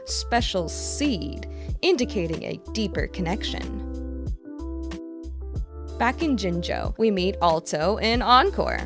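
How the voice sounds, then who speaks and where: A woman reading a scripted recap of a video game's story in a dramatic storytelling manner, as the narrator of a lore video. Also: mid-range voice. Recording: voice-over with clear background music. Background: music.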